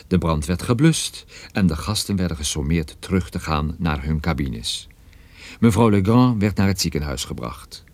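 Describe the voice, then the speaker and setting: A man's voice: low-pitched, darkish tone, dry recording. An actor playing a wry private detective who narrates his own story in a scripted radio play.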